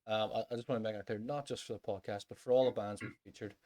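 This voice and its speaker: A man's voice: fairly low pitch, fairly even intonation, fast talker, mellow. A Northern Irish man hosting a music podcast in a casual, chatty style. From Northern Ireland.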